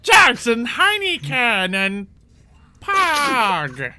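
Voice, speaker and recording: Man acting goblin chief, bright sharp voice, very animated pitch, worried, bossy, muddled, halting orders. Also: exaggerated voice. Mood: bossy, faltering.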